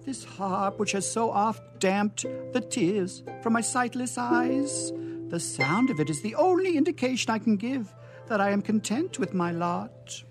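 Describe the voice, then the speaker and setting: An actor playing a gentle, blind old father in a florid, melodramatic period stage drama, with drawn-out exclamations. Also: high-pitched, lively intonation, over music. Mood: plaintive, anxious. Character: blind, gentle, aged father living in poverty. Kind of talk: melodramatic 19th-century stage acting. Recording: audible background noise and hum.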